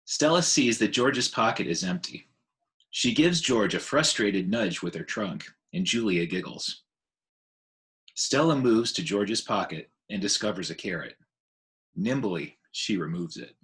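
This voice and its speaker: A man narrates a children's story aloud. His voice sits slightly low and moves with very lively intonation, giving an expressive read. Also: unhurried. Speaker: teacher reading aloud.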